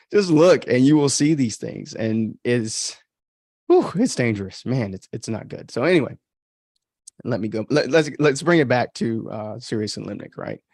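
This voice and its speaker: A man's voice: mid-range voice, sing-song, a little slow, a little mellow, no room sound, clean studio sound. A man from the United States giving casual, opinionated, rambling livestream commentary as a podcast host. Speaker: podcast host and author commenting on own story.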